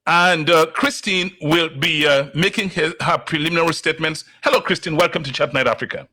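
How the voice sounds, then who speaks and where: A man hosting a talk show, opening with a slow, emphatic motivational monologue before interviewing his guest. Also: on the high side, bright, forceful tone. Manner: slow, deliberate, emphatic delivery.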